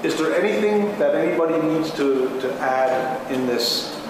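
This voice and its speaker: A man's voice: fairly high pitch, lively intonation, moderate pace. Man facilitating in a noisy, echoing hall. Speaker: meeting facilitator. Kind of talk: leading a community group discussion, halting and informal. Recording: echoey hall with loud room noise.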